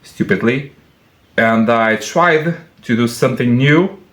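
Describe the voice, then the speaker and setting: A man with a Portuguese accent, a mechanic and channel host, talking earnestly in a halting way, with many fillers and restarts. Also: mid-range voice, fairly bright tone, roomy sound. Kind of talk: apologetic casual vlog talk. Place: car workshop.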